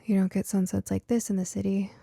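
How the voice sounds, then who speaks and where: A woman voicing a cheerful, caring mother in an audiobook story, gently teasing and reassuring. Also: medium pitch, flat delivery, quick pace, gravelly, breathy edge, dry recording. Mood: fond, teasing. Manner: chuckling, reassuring, coaxing.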